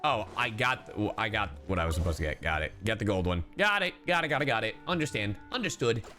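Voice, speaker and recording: A man's voice: on the low side, sing-song, quick pace, bright, forceful tone, soft background music. A man streaming a game, chatting casually with his viewers, joking around and swearing freely. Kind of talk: casual livestream commentary.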